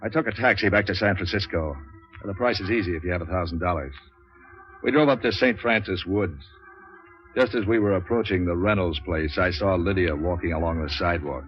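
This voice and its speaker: An actor playing a cynical, wisecracking American private troubleshooter in a clipped, sardonic 1940s radio noir style. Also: male voice, low-pitched, brisk, natural voice. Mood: weary narration. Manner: clipped, sardonic, tough-talking. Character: hard-boiled, wisecracking male private troubleshooter, world-weary and cynical. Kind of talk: 1940s radio noir acting and first-person narration. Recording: dull 1940s radio recording with music.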